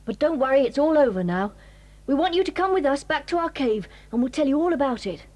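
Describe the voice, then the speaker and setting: A sensible, take-charge British child in a children's audio drama. She sounds calm, reassuring and decisive, her voice pitched high. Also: fast.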